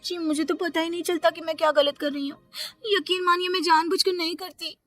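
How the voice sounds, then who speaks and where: A woman's voice: extremely high pitch, fairly even intonation, fairly quick, a bit bright, soft background music, pitched above the performer's own voice. A woman voicing a naive, meek and painfully honest young wife in a cartoon family story. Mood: apologetic, earnest.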